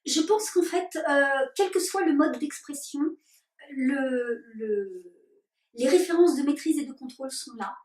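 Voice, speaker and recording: Slow, hesitant woman, roomy distant sound. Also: sits high, lively intonation, darkish tone. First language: French. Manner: slow and deliberate, many hesitations. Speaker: workshop leader. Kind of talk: reflective interview answers.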